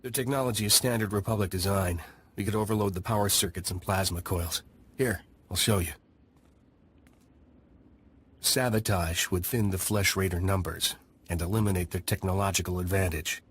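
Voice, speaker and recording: A man's voice: sits low, steady tone, normal speed, fairly bright tone. A man voicing a blunt alien scout chief who is eager to take revenge on raiders, in a studio video game cutscene. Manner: blunt, gruff.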